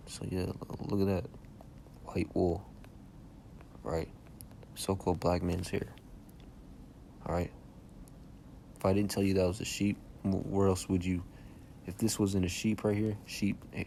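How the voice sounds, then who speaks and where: Low, soft-voiced young preacher. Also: male voice, little pitch movement, slow, gravelly, long pauses, a little hiss or hum. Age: young adult. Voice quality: soft-spoken by his own account. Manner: checks in with "okay?" and "all right?" after nearly every phrase; casual and insistent, often says "man". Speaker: bible teacher. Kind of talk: informal scripture preaching.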